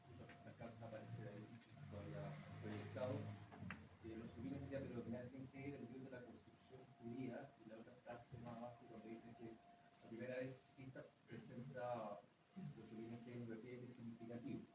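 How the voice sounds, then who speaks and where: A man's voice: medium pitch, level tone, fairly quick. A man in a seminar audience asking a question, hesitant and full of fillers. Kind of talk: academic question. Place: seminar room. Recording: very echoey, distant, loud noise.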